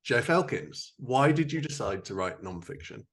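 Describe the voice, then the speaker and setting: A man's voice: medium pitch, sing-song, moderate pace. A man hosting a podcast as a fellow author, chatting casually in a self-deprecating, irreverent, mildly sweary way. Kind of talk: casual chat, interviewing.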